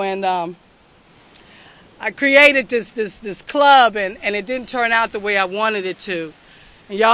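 A woman's voice: fairly high pitch, bright, forceful tone. A young woman from the southern United States giving a heartfelt, earnest personal testimony at a recovery meeting, speaking straight to the crowd. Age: young adult.

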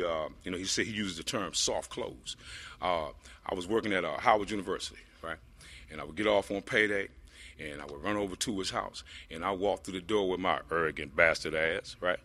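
A man with a deep voice telling his personal recovery story to a group, rambling with lots of fillers. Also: a little fast, pushed and bright. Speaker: speaker sharing a recovery story.

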